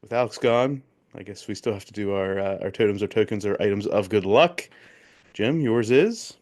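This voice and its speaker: A man co-hosting a casual sports betting podcast, joking and reading off records and picks in quick bursts. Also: lowish voice, a little mellow. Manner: jokey, recaps bets in quick bursts. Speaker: podcast co-host.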